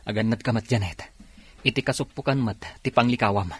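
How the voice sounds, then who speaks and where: An actor playing a brisk, dutiful young policeman in an Ilocano radio drama, with an Ilocano accent. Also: mid-range voice, breathy edge, lightly acted. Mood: urgent, commanding. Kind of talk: Filipino radio drama acting.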